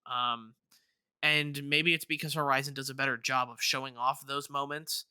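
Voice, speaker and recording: A man's voice: mid-range voice, steady tone, moderate pace, bright, forceful tone, clear, resonant tone, dry recording, studio quality. A man co-hosting a gaming podcast, chatting casually and circling back over his words as he talks. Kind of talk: casual chat about video games.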